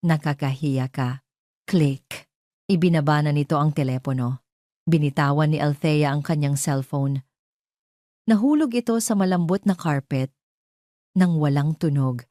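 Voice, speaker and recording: A woman narrates a scripted audio drama. Her voice sits low, with a soft dark tone. The sound is very dry. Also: no room sound.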